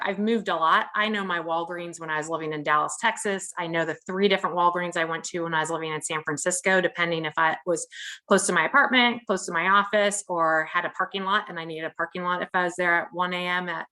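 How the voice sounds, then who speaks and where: A woman with a bright, forceful voice sitting a little low in pitch. She presents an informal keynote with clear enthusiasm for her work. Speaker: keynote speaker, company employee.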